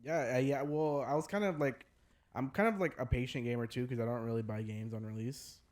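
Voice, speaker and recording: Mid-pitched man in easygoing casual chat. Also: fairly quick, a little mellow. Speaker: podcast co-host. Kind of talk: casual chat about video games.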